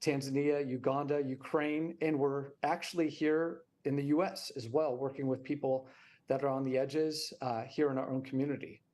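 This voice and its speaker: American guy on an interview, normal pitch, explaining stuff for a nonprofit. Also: fairly even intonation, moderate pace, darkish tone. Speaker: nonprofit representative, interview guest.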